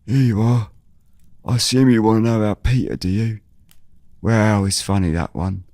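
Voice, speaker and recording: A man's voice: fairly low pitch, fairly even intonation, moderate pace, a little mellow, no echo, voice pushed up, lightly acted. A man playing a chatty, hospitable elderly villager in an audio drama, talking in folksy, repetitive, clipped phrases. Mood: welcoming.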